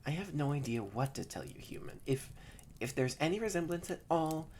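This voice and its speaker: A young adult performer voicing a haughty, grumpy yet secretly shy catboy in an intimate roleplay audio drama, stammering and often slipping into breathy near-whispers. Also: medium pitch, expressive, a little fast, a little mellow, a little hiss or hum, natural voice. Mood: flustered, indignant.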